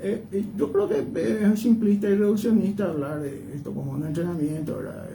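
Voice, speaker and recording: Male history pundit, Paraguayan accent, high creaky voice, rambling conversational delivery, distant noisy mic. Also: little pitch movement, a little fast, warm tone. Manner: digressive, often seeks agreement with tag questions. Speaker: history commentator, interview guest.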